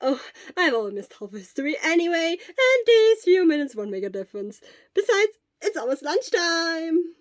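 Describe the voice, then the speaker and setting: An excitable teenage schoolboy from an audiobook story, played by a woman. The voice is high and loud, with a whiny, theatrical edge and lots of exclamation. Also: moderate pace, no echo, studio quality. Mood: breezy. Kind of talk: acted audiobook dialogue.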